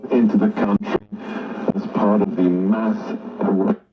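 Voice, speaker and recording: A man's voice: fairly low pitch, little pitch movement, unhurried, soft background music. A man delivering political talk, heard as playback from a radio with a dull sound.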